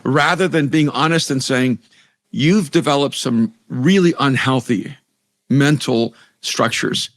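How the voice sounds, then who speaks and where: A man's voice: medium pitch, slow pace. A middle-aged man from El Salvador, a Spanish native speaker, talking earnestly as an interview guest and often restarting his sentences. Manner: earnest, many restarts and repeated words. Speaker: author and pastor guest. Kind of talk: conversational interview.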